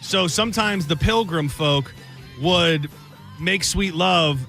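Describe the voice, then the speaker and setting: A man's voice: high-pitched, slow, vocal fry, pushed and bright, faint music behind. A man from Michigan hosting a radio show, telling a rambling, self-deprecating story in casual banter.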